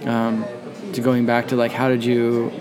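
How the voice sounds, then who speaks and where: A man talking as a guest in a casual interview, rambling and halting, with the occasional swear. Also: medium pitch, completely flat delivery, a crowd in the background. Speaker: guest, surf photographer.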